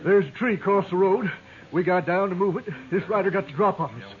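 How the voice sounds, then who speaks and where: An actor playing a blunt, matter-of-fact stagecoach driver in an old radio western. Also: male voice, high-pitched, fairly quick, natural voice. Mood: urgent. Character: plain-spoken male stagecoach driver, weathered. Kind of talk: 1950s radio drama acting. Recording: lo-fi old radio with crowd murmur.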